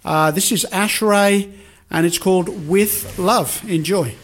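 Radio host, higher voice. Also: male voice, unhurried. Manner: relaxed, rambling with fillers.

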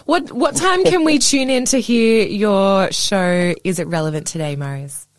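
A woman's voice: medium pitch, a little slow, dry recording, studio quality. Bubbly female radio co-host. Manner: bubbly, chatty. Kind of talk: radio interview. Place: radio studio.

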